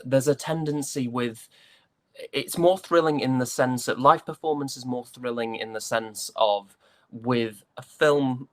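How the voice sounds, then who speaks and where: Low-voiced young man, Northern English accent. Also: a bit bright, dry recording. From West Yorkshire, England. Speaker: interview guest, actor. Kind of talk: casual interview chat.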